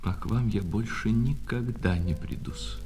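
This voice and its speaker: A man's voice: fairly low pitch, unhurried, dark tone, close to an everyday voice. A man playing an obsequious, wounded male shop clerk in a radio play, switching between servile sales patter and bitter reproach. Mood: jealous, reproachful. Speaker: actor. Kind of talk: radio play acting of a classic short story. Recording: reverberant hall, music behind.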